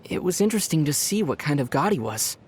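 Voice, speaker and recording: A man voicing a calm, thoughtful young traveler hero in a video game, speaking in a measured way. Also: on the high side, slight background noise.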